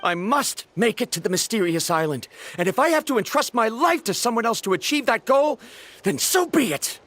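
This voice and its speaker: A proud, prickly young male scholar in a game, with a high-pitched voice. The speech is formal and intense, with dramatic swings in pitch and an argumentative edge. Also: animated, normal speed, a bit bright, no echo, exaggerated voice. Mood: defiant, determined. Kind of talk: animated game voice acting.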